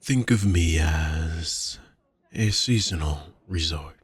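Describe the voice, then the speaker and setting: A man playing a doting, courtly vampire shopkeeper in an intimate audio roleplay, with a husky voice and gentle, flowery, formal speech addressed to the listener. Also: a bass voice, slow pace, no echo, exaggerated voice. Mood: wry, chatty.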